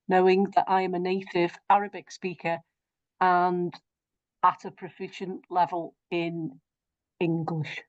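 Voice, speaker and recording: A woman's voice: on the low side, a little mellow, no room sound. A woman moderating a webinar, reading out audience questions in a friendly, encouraging way. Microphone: video call. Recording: dull lo-fi call audio.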